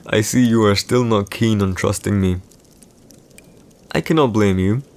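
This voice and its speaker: A man playing a proud, calculating villain emperor in an audio roleplay, speaking in a measured, commanding way with deliberate pauses. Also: on the low side, a little mellow. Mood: cold, menacing. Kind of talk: scripted boyfriend audio roleplay, slow dramatic monologue.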